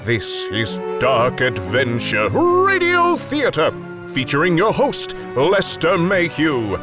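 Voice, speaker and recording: A grandiose vintage radio announcer over loud music. His delivery is slow and theatrical, swinging dramatically up and down in pitch. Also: huge pitch swings, exaggerated voice. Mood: ominous, grand.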